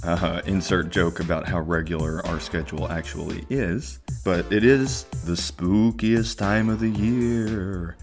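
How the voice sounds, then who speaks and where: A man hosting and narrating a horror audio story with deliberate, measured phrasing. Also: sits low, steady tone, soft background music. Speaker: podcast host and audio drama narrator.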